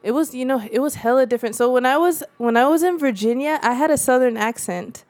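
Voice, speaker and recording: A woman's voice: sits high, fast talker, darkish tone, faint music behind. Chatty young woman from Central California. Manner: chatty, laughs often, acts out quoted voices. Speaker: guest. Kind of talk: casual conversation.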